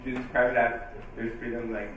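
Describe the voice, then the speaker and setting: A man's voice: mid-range voice, flat delivery, a little fast, fairly bright tone, a little hiss or hum. A man from Costa Rica asks an earnest question from the audience into a handheld mic, searching for his words. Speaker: audience member asking a question. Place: event hall audience. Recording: echoey hall, distant mic.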